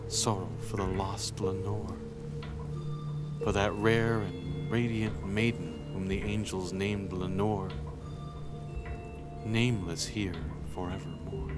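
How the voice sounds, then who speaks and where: Guy doing a dramatic spooky poem reading, really theatrical, music loud behind him. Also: on the low side, steady tone. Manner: theatrical, drawn-out phrasing, hushed line endings. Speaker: podcast host. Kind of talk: dramatic reading of gothic poetry. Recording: loud music bed under the voice. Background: loud music and eerie sound effects.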